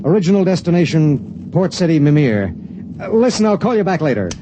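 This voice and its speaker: An American actor playing an anguished, short-tempered emergency spaceship pilot in a vintage radio drama. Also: male voice, very animated, a little fast. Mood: businesslike, subdued. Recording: noisy vintage radio recording, engine rumble.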